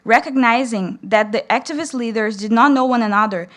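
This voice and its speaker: High-voiced young Brazilian woman. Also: fairly quick, a bit bright. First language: Portuguese. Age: young adult. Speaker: student reader at a public read-in. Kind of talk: reading aloud to an audience.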